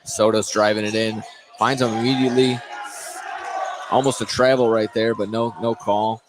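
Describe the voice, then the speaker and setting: A man's voice: fairly low pitch, flat delivery, normal speed. An American man doing live play-by-play commentary of a basketball game. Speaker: play-by-play announcer. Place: indoor basketball gym, broadcast commentary. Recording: noisy live gym ambience.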